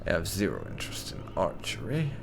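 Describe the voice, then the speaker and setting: A man thinking aloud during a game playthrough, talking in a dry, wry and unhurried way. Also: low-pitched, huge pitch swings, creaky, noisy background. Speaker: gaming channel host. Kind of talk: casual gameplay commentary.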